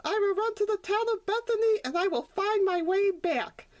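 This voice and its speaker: Woman voicing a plaintive, high-pitched little donkey boy. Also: normal speed. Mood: hurt, determined. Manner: plaintive, earnest. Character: small young male donkey, sad, earnest, insecure.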